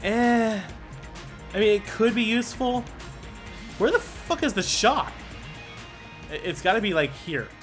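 Young guy playing a game and talking over it, kinda high voice, swears a lot, game music going. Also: unhurried, long pauses. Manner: excitable, swearing, reads game dialogue aloud. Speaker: gaming video creator. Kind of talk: casual gameplay commentary.

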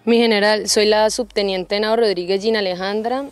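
A woman's voice: mid-range voice, a little fast, dry recording, soft background music. A woman in her twenties with a Colombian accent from Cali, an army officer telling personal stories expressively and informally. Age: 20s. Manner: expressive, informal, acts out remembered dialogue. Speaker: army junior officer, interview guest. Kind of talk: personal storytelling in an interview. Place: open front of a military hangar. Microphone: handheld microphone.

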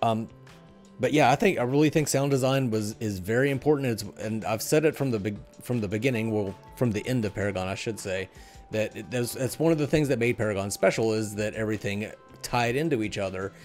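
A man's voice: lowish voice, a little fast, a little mellow. A middle-aged man co-hosting a casual gaming discussion, speaking into a headset at home. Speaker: podcast host. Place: small room at home, on a group call. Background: music.

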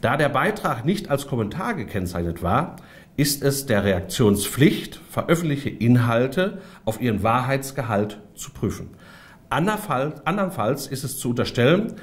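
A man delivering a prepared political statement in a formal, stiff and emphatic manner. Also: mid-range voice. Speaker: politician, party spokesman.

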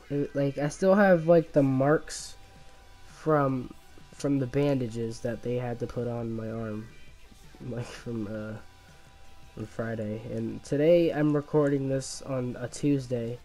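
A man with a slight cough and a voice worn down by illness, telling a rambling story over his gameplay, halting and restarting often. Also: mid-range voice, fairly even intonation, mellow, soft background music. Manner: halting, many restarts and fillers, laughs at himself. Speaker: gamer commentating his own gameplay.

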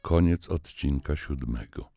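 A man's voice: extremely low pitch, unhurried, creaky, mellow, faint music behind. A man reading a scripted closing announcement as an announcer.

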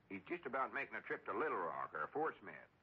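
An actor playing a self-important, grumbling old storekeeper with a folksy rural Southern American drawl, in radio comedy style. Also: male voice, fairly low pitch, sing-song, quick pace, slightly rough, heavily acted. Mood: aggrieved. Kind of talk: 1930s-40s radio comedy acting. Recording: dull old radio recording.

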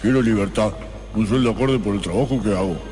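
A man's voice: lowish voice, brisk, over music, close to an everyday voice. A man with an Argentine accent playing an earnest, rebellious colonial slave in a comedic radio play. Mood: defiant. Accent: Argentine Rioplatense.

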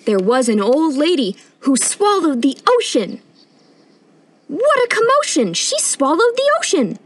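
A young woman reading a picture book aloud at storytime in an expressive, sing-song rhyming delivery, recorded outdoors. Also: high-pitched, unhurried. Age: young adult. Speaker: storytime reader, intern. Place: outdoors on a wooden porch.

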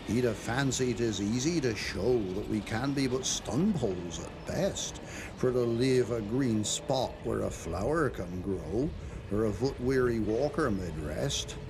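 A man with a Dorset accent reciting dialect poetry as an expressive, emphatic storyteller. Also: mid-range voice, unhurried. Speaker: poetry reader. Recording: noticeable background noise, 1990s television soundtrack.

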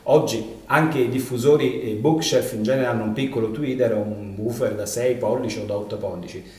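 A middle-aged man, a loudspeaker company owner, answering interview questions with many hesitations in a showroom. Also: mid-range voice, fairly even intonation, brisk, distant mic. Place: living room showroom with speakers. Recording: roomy, off-mic sound.

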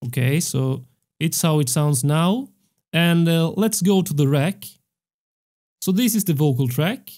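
A young man hosting a music production tutorial, explaining step by step in a measured way into a close studio mic. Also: on the high side, a little mellow. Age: young adult. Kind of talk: tutorial narration. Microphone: close studio microphone on a stand.

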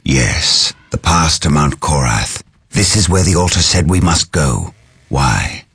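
Stern battle psyker, low gravel-edged voice, close dry sound, game cutscene. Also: male voice, sing-song, slow pace, a bit bright, no echo, exaggerated voice. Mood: stern, assured. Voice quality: husky, rough. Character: stern, proud male warrior-psyker, armoured space marine. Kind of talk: dramatic game cutscene acting.

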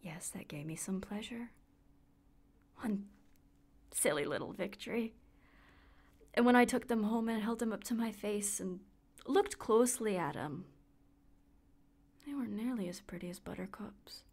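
Radio play, weary woman recovering her sight: candid, wry, confiding monologue, mid-pitched. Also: expressive, a little slow, pauses often, darkish tone, breathy edge. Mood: quietly deflated.

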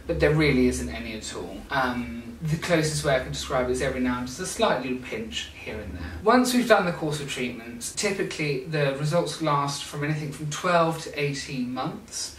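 A man's voice: medium pitch, fairly bright tone. A young man talks informally to camera about a facial treatment he offers, dropping in fillers as he goes. His voice sits in a mid register and carries the bounce of a small room. He is set back a little from the mic, with a faint hum of room noise underneath. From England. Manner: chatty, informal, with frequent fillers. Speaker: skincare clinic owner. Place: small clinic room indoors. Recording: roomy, slightly distant camera sound.

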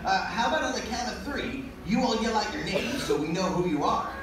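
A woman playing an eager, upbeat towel-animal puppet leader in a live puppet show, with an excitable, theatrical, stammering delivery. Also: mid-range voice, fairly quick, lightly acted. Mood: nervous, friendly. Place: large indoor show room, filmed from audience. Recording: echoey hall from far off, noisy audience recording.

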